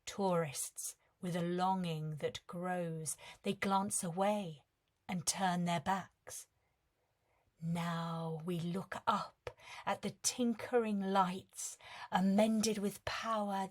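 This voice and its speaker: Female poetry reader, lower voice, very slow, soft measured delivery.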